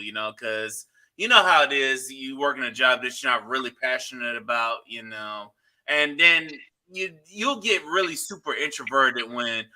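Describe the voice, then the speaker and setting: A man's voice: mid-range voice, bright, forceful tone. A man hosting a podcast, talking loosely in casual chat and swearing freely. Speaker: podcast host.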